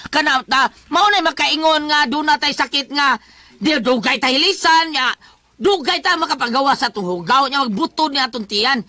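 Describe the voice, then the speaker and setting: A woman with a Cebuano Filipino accent talks as a radio host. Her voice is high and bright, recorded close and dry. She moves through greetings and promotions in a casual, off-the-cuff way. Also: normal speed, no room sound. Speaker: radio host giving greetings and product plugs. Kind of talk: casual live radio banter and ad-lib promotion.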